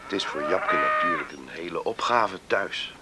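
A man's voice: sits low, huge pitch swings, normal speed. A man acting a good-natured, curious, sympathetic countryman in a Dutch radio play. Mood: thoughtful. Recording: noisy radio play recording.

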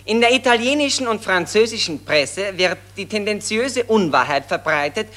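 A smug young-blooded Austrian lieutenant in a vintage radio play. His delivery is lively and sharp, full of colloquial slang and breezy self-satisfaction. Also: high voice, normal speed. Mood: reading, self-righteous. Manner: chuckling, self-satisfied, drawling colloquial officer talk. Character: jolly, complacent Austrian army lieutenant reading newspapers aloud. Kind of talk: 1940s German radio drama acting.

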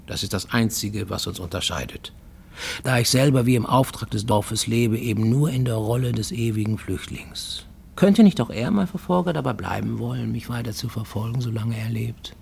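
An actor in a German radio play voicing a haunted middle-aged Japanese fugitive, formal and intense, sometimes dropping to a near whisper. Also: male voice, lowish voice, gravelly, slightly rough. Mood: brooding, paranoid.

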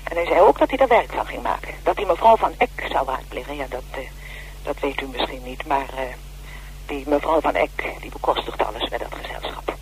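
An actress playing a wary, aggrieved middle-aged widow in a radio play, speaking hesitantly and defensively. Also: female voice, a bass voice, animated, a little fast. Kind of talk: naturalistic radio play acting. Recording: noisy old radio broadcast with hum.

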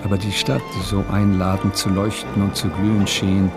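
Low-voiced male narrator over music. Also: little pitch movement, normal speed. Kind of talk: scripted literary radio drama narration.

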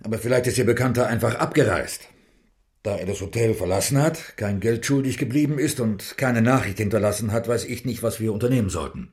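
An actor playing a formal police inspector who asks clipped, businesslike questions. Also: male voice, on the low side, a little fast, darkish tone, natural voice.

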